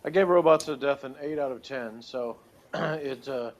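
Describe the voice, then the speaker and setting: A man's voice: medium pitch, moderate pace. Matter-of-fact man co-hosting a casual review. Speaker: review show co-host. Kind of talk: casual chat. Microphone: tablet microphone.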